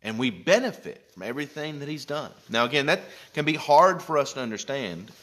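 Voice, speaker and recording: A man in his thirties, a pastor giving a gentle children's Bible lesson in a church, speaking slowly and checking in with questions, recorded on a close-held phone. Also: on the high side, sing-song. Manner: slow, gentle, explaining step by step with checking questions. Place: church sanctuary, indoors. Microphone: phone camera held close.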